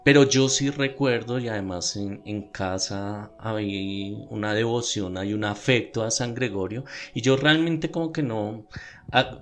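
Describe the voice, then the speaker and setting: A man with a Bogotá Colombian accent telling stories and explaining in a conversational, slightly hesitant way on a podcast. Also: lowish voice. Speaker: podcast panelist. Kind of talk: conversational storytelling. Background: music.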